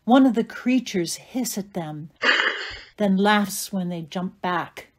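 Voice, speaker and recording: A woman performing as the narrator of a dark fairy tale audio play, in scripted dramatic narration. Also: mid-range voice, lively intonation, takes it slowly. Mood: measured narration.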